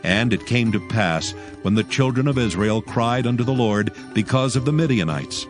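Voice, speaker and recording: A man giving a measured, solemn scripted narration of scripture. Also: medium pitch, animated. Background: music.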